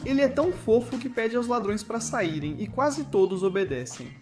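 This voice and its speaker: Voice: mid-range voice, animated, normal speed. A Brazilian narrator reading a scripted cartoon video essay. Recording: music behind the voice. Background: background music.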